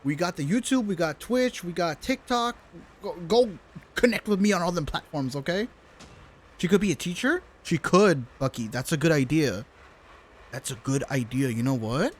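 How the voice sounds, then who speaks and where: A woman's voice: low voice, animated, moderate pace, husky. A woman livestreamer giving casual, informal game commentary, chatting to viewers and swearing now and then.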